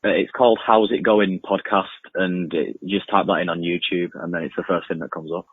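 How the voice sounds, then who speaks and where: A young man with a very deep, rough-edged voice gives casual, halting interview answers. He repeats himself informally. The sound is narrow and muffled, like a poor remote connection. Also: steady tone. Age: young adult. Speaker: interview guest, amateur music producer and podcaster. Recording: muffled lo-fi, roomy echoing remote link.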